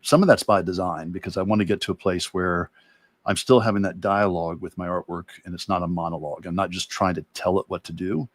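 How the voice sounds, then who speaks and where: A man with a low voice, recorded close and dry, talks casually as an illustrator explaining his process. He is reflective and thinks aloud, restarting phrases as he works toward his point. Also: fairly even intonation, fast, no echo. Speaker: guest illustrator and art teacher. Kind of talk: casual explanatory livestream talk.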